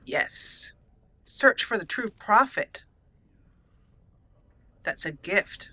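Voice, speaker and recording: Bright-voiced woman narrating a roleplay playthrough. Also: mid-range voice, animated, very slow. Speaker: gaming channel host. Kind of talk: roleplay let's play narration, storytelling.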